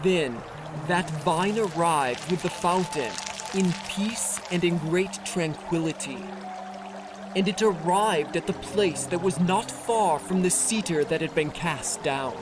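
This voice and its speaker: Unhurried audiobook narrator, solemn, formal, measured, scripture storytelling, prominent music underneath. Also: medium pitch.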